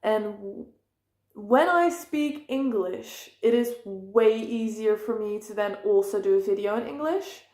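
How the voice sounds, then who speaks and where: A woman's voice: medium pitch, lively intonation, unhurried, darkish tone. Rambling woman vlogging, echoey room. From Netherlands. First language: Dutch. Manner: rambling, self-correcting, many fillers. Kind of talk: casual personal talk to camera.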